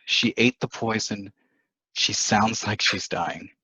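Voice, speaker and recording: An actor playing a scheming, defensive husband in a dramatic stage play reading, dismissive and insistent, on a muffled microphone. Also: male voice, on the low side, steady tone, takes it slowly, sharp tone, slightly rough, no room sound, full character voice. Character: scheming, defensive husband poisoning his wife.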